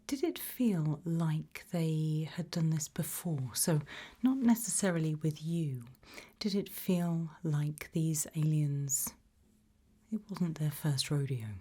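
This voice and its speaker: A British woman doing an ASMR roleplay interview, asking gentle questions in a soft, breathy whisper. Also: low voice, slow pace. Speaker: ASMR roleplay creator playing an investigator.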